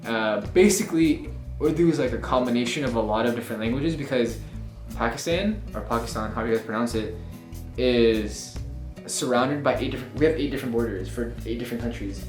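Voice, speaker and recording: A man's voice: medium pitch, a little fast, a little mellow. A young man co-hosting a casual chat, laid-back and full of fillers. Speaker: co-host. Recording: echoey room with faint music. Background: faint background music.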